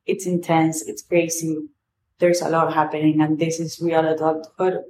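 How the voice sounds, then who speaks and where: A woman's voice: sits low, darkish tone, a little hiss or hum, rough recording. A woman in her twenties with an Argentinian accent, a native Spanish speaker, talking candidly and rambling in a personal podcast monologue. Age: 20s. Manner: candid, rambling, swears casually. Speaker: podcast host.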